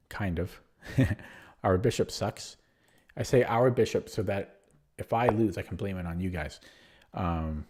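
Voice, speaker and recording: A man streams online chess, talking through each move out loud in a low, unhurried voice. He hesitates often, fills pauses with ums, and pokes fun at himself as he calculates. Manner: thinking aloud, self-deprecating, hesitant with many fillers. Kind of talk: live game commentary.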